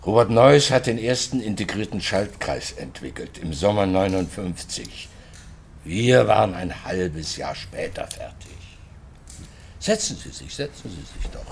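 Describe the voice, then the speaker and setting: Gravelly, animated old professor. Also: male voice, deep voice, measured pace, a little hiss or hum, full character voice. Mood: proud. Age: older. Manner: pedantic, wry, animated with hushed asides. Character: old East German scientist professor, sardonic, guarded host. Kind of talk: radio crime drama acting.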